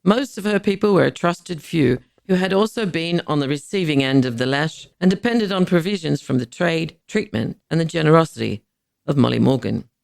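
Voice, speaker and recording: Male narrator, slightly high voice with vocal fry, deliberate formal delivery of scripted history. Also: no room sound, clean studio sound. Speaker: podcast narrator.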